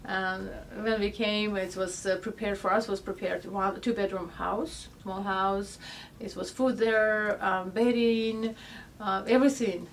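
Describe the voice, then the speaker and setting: A woman recounting her arrival in an interview, animated, in broken English with fillers. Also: medium pitch, unhurried. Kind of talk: recounting personal story. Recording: distant mic with faint room noise.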